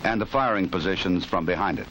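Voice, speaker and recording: Low-voiced American narrator, noisy old film. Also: male voice, quick pace. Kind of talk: 1960s instructional film scripted narration. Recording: noisy 1960s film soundtrack.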